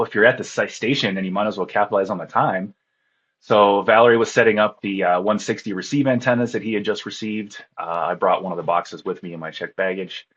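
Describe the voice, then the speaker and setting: A man with a low, husky voice talks casually as an interview guest, recounting his experiences in a conversational way. The sound is slightly muffled and low in fidelity. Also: fairly bright tone. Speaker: interview guest, ham radio contest operator.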